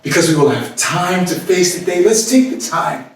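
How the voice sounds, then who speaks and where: A man performing a staged dramatic monologue as a weary, lovesick, despairing man, speaking in halting, broken phrases with long pauses. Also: high-pitched, lively intonation. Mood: hushed wonder. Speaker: stage actor. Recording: roomy echoing stage, distant mic, faint noise.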